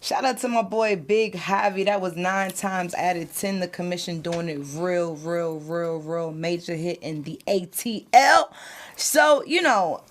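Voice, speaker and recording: A woman's voice: on the low side, measured pace. A woman hosting a casual interview show, upbeat and emphatic, repeating words for stress. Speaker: host.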